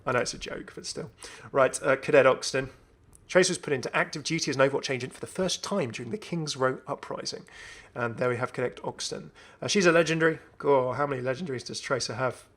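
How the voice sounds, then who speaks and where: A man's voice: medium pitch, fast, hardly any pauses, fairly bright tone. A man hosting a gaming video, commenting casually and enthusiastically as he thinks aloud. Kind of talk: casual game update commentary.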